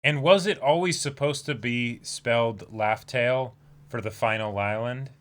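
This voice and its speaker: Casual man with a medium-pitched voice. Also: a little slow, a bit bright. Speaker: co-host reading manga aloud on stream. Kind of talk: casual chat and playful read-aloud.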